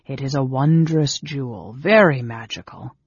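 A man's voice: on the high side, a little slow, a little mellow, husky, dry recording, muffled recording. A man voicing a middle-aged half-elf adventurer in a fantasy audiobook, earnest and exasperated. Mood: irritated, insistent.

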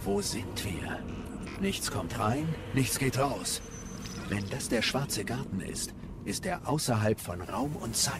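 A man's voice: mid-range voice, animated, takes it slowly, very noisy. A man playing a small, loyal, determined machine companion in a German video game audio drama, terse and earnest in delivery. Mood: puzzled.

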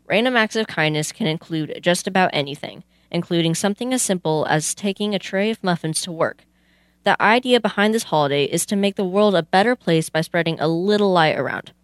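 Scripted woman radio narrator, lower-pitched, dry sound. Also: fairly quick, no room sound. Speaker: youth radio presenter.

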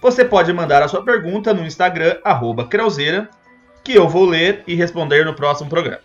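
Give this man a fairly high voice and a Brazilian Portuguese accent. He is a podcast host who explains things informally, chatting straight to his listeners, with music playing behind him. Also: animated, a little fast, lo-fi sound.

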